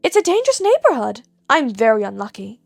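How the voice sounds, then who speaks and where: Reckless teenage boy vigilante, played by a woman: high, glib, deadpan, argumentative. Close dry audiobook sound. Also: fairly quick, gravelly, dry recording, faint music behind, exaggerated voice. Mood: glib, innocent.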